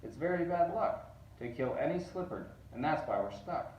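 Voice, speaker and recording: Man voicing a friendly, fretful storybook doorman, higher-pitched. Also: expressive, normal speed, lightly acted. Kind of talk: children's storybook read-aloud. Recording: roomy, distant, dull sound.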